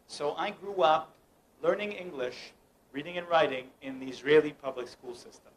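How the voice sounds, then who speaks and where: A man's voice: a little high, normal speed, a little hiss or hum, poor audio. A young man from the United States performing a playful mind-reading act, teasing and directing the people around him. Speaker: mentalist performer. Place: room at a home gathering.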